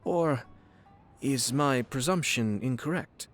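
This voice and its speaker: A man playing a composed young nobleman in a video game, speaking with formal, measured, wryly genteel politeness. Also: mid-range voice, soft background music, lightly acted. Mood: smooth, persuasive. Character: courteous, composed young nobleman, head of a clan.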